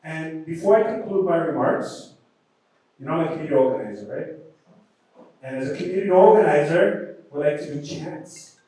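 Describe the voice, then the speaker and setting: A man's voice: a little high, normal speed, pauses often, dark tone. Rallying man in an echoey hall. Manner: rallying, speaks with emphatic public-speaking cadence. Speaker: community organizer. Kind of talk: closing remarks at a public event. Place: large event hall. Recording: echoey hall, voice picked up from a distance.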